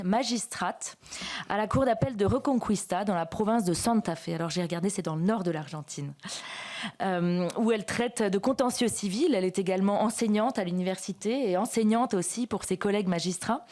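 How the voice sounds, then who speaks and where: A French woman speaks at a conference, opening the session and introducing a guest. She pauses often, restarts her sentences and fills gaps with hesitation sounds. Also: medium pitch, a little mellow. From France. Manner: hesitant, many fillers and restarts. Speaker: association president, moderator.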